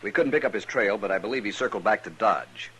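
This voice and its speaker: An actor playing a rigid, insistent cavalry lieutenant with an American accent, speaking in a clipped, formal way in a western radio drama. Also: male voice, lowish voice, animated, fast, fairly bright tone, slightly rough. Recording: noisy old radio recording.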